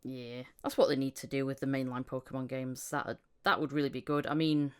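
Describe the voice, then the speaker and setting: A woman's voice: a bass voice, fairly even intonation, a little fast, clear, resonant tone. A woman hosting a casual podcast conversation, chatty and prone to repeating phrases for emphasis. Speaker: podcast host, voice actor.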